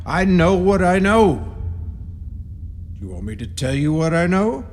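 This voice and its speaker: A man's voice: sits high, very animated, a little slow, a little mellow. A man playing an ominous rural caretaker in a radio horror play, giving grim, cryptic warnings in folksy country grammar. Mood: cryptic, grave. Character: ominous rustic caretaker, grimly warning intruders. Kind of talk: radio horror drama acting. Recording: long reverb with music behind.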